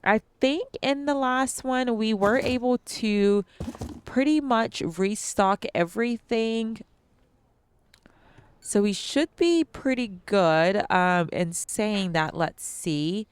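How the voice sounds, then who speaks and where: A young woman talks through a video game for her viewers at an unhurried pace, calling them guys and filling gaps with ums. Her voice comes across very clear and clean, though her nose sounds slightly stuffy. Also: medium pitch. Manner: chatty, addresses viewers as guys, frequent ums and restarts. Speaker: gaming channel host. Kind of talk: casual let's-play commentary.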